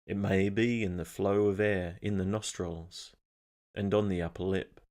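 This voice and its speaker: A man leading a scripted guided meditation in a calm, measured, instructional manner. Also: deep voice.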